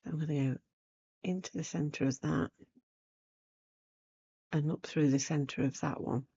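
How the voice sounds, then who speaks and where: A woman hosts a casual craft demonstration in a croaky, cold-roughened voice full of gravelly fry. She takes her time, rambling and thinking aloud as she works. The sound is a little dull. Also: low-pitched, fairly even intonation, a little slow, dark tone. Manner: unscripted, rambling, thinking aloud while working. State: croaky, hoarse after a cold. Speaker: craft tutorial host. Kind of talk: casual chatty needlework demonstration.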